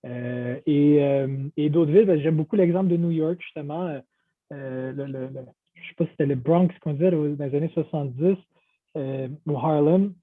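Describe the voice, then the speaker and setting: A man's voice: on the high side, moderate pace, no echo. A man of Portuguese origin speaking as a panelist on a video call, enthusiastic and full of fillers and restarts. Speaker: panelist, downtown business association director. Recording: tinny phone-like video call audio.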